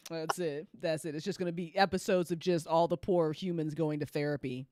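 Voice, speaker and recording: A woman's voice: low voice, fairly even intonation, brisk, noisy background. A woman hosting a casual interview show, effusive, repeating her phrases and swearing casually. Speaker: host of a podcast after-show and audio drama editor. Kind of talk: casual chat, interviewing cast.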